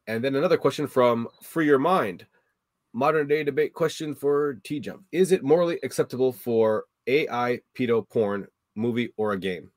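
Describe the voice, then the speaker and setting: A man hosts a livestreamed debate and reads viewer questions aloud, brisk and flat. His mid-pitched voice sits in a dry, close-sounding recording. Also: dry recording. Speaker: debate moderator.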